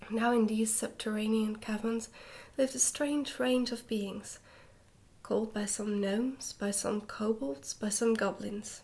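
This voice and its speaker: A woman reading a storybook in a soft-spoken ASMR style, slipping often into a whisper, with a gentle, hushed delivery. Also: fairly high pitch, steady tone, takes it slowly, gravelly. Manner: gentle, hushed delivery with some stumbles over words. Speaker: ASMR reader, channel host.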